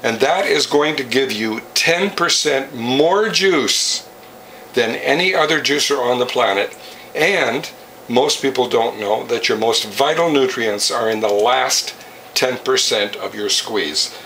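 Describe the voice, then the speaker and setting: A man's voice: mid-range voice, unhurried, pushed and bright. Older man presenting, distant noisy recording. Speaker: presenter demonstrating a product. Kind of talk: plain storytelling and how-to demonstration. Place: home kitchen, indoors. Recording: noisy home-kitchen recording, distant mic.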